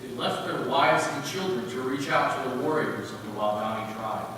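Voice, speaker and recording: A man's voice: medium pitch, normal speed, fairly bright tone, lightly acted. A man playing an intense jungle warrior recalling a violent boyhood, declaimed in a readers' theater recital. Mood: grave. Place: large indoor recital hall, distant microphone. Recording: very echoey hall, distant, faint noise.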